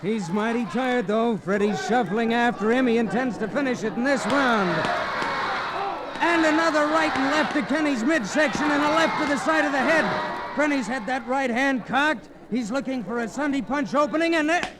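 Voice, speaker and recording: A 1940s radio fight announcer, calling the bout in urgent, clipped play-by-play. His voice rides very high over a roaring crowd on a noisy old recording. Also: normal speed. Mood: excited play-by-play. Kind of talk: 1940s radio sports commentary.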